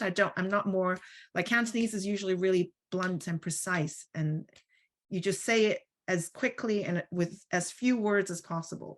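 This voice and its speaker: A woman speaking in an online panel discussion, thoughtful and searching, with many restarts and fillers, heard over a video call. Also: lowish voice. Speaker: roundtable speaker.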